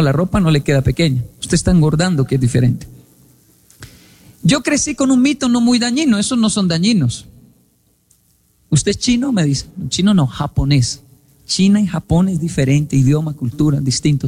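A man's voice: a little high, big pitch swings, normal speed, darkish tone, in a large hall. A man from Colombia, giving a humorous storytelling talk on stage and acting out remembered conversations. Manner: humorous, acts out quoted dialogue. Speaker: public speaker.

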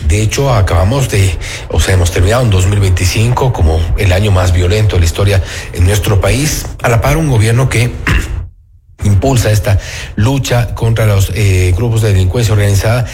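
A man with an Ecuadorian accent interviewing a guest, halting with many fillers and restarts. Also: on the low side, darkish tone, a little hiss or hum. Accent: Ecuadorian Spanish. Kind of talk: interview questioning.

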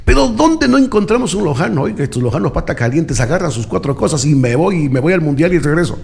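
A quick-talking radio host with a slightly raised voice, firing off jokes and teasing his co-hosts. He repeats words as he goes and keeps the banter rolling. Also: on the high side, big pitch swings, creaky, darkish tone.

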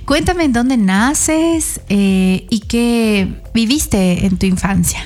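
A woman's voice: on the high side, slow, a little mellow, soft background music. A woman interviewing a guest, asking curious questions with short backchannels like "ah, caramba". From Ecuador. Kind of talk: casual interview chat.

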